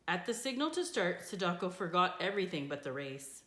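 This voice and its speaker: A woman reading a children's book aloud in an expressive storytelling style. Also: on the low side, brisk. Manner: expressive, with character voices for dialogue. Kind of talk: scripted storybook narration, read aloud. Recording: echoey room.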